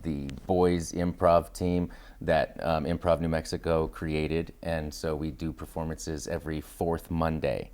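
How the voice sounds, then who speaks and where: A man with a distinctly deep voice talks as a guest in an interview. His style is casual and enthusiastic, with phrases strung loosely together as he explains things. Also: level tone, a little slow, few pauses, a little mellow. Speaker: interview guest, acting studio founder and teacher.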